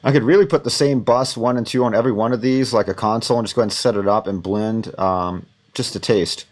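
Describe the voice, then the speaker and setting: A man teaching audio mixing, thinking aloud in a relaxed, rambling way with many fillers. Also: on the low side, fast talker. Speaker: audio instructor.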